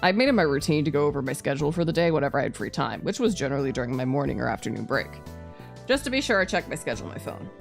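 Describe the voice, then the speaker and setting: A woman's voice: sits low, sing-song, rapid-fire, gravelly, faint music behind. A woman streamer playing a visual novel live, reading the narration aloud and adding playful, casually sweary asides. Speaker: streamer, virtual YouTuber.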